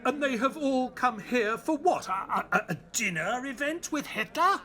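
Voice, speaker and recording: An earnest, animated high-pitched sidekick narrator. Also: male voice, unhurried, exaggerated voice. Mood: shocked. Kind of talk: dramatic narration and dialogue of an audio play.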